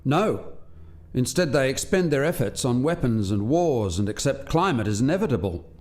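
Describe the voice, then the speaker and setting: A man plays an articulate alien scientist in a radio drama. His voice sits at a medium pitch, and he explains with the precise manner of a lecturer. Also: sing-song, moderate pace, a little mellow. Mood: explanatory. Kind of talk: radio play acting.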